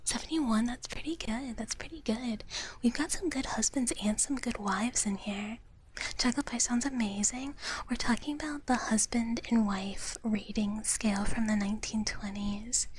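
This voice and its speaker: A woman whispers softly and breathily into a close ASMR microphone, her voice slightly high. She rambles playfully to her viewers in a casual livestream style, often repeating herself. A faint noise sits behind her voice. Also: moderate pace, low-quality recording. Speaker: livestream host, VTuber.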